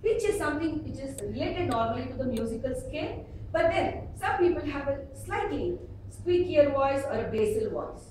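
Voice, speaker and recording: A middle-aged woman with a South Indian accent giving an expressive, humorous public speaking workshop with acted examples, recorded in a room at some distance from the mic. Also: high-pitched, normal speed. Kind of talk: animated workshop talk with acted examples and anecdotes. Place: indoor meeting room before an audience. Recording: echoey room, distant mic, faint noise.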